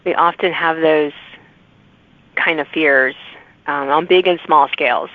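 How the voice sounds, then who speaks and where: A woman's voice: low voice, a little slow, no room sound. Woman podcast host, dry lo-fi sound. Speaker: podcast host interviewing authors. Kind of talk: conversational interview.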